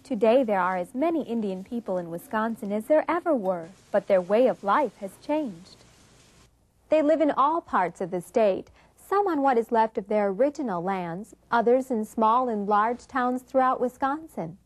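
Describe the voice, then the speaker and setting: A woman's voice: fairly high pitch, animated, normal speed, dry recording. A woman with an American accent hosting and narrating a scripted educational program, speaking instructively and directly to young viewers. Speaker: narrator and host of an educational show.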